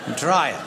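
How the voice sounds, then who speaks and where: A man in his forties performing a stand-up set on a theater stage into a handheld mic, chatty, tossing in rhetorical asides and bursting into shouted rants. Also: mid-range voice, creaky. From United States. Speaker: stand-up comedian. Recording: roomy theater with crowd noise. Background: audience laughter.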